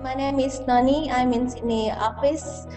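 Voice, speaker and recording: A woman's voice: sits high, fairly even intonation, moderate pace. A woman from Indonesia speaking simply about her family in an interview. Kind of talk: interview testimony. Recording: reverberant hall, music behind.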